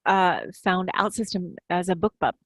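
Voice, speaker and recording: A woman with a lowish voice talks casually as a podcast guest, recounting anecdotes about her writing life. The sound is dry, with very little room in it. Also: steady tone, moderate pace, dry recording.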